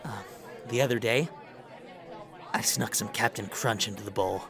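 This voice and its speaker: A man playing a meek, sheltered high school boy with a hesitant, halting delivery. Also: a crowd in the background, close to an everyday voice. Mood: sheepish. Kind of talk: audio drama dialogue.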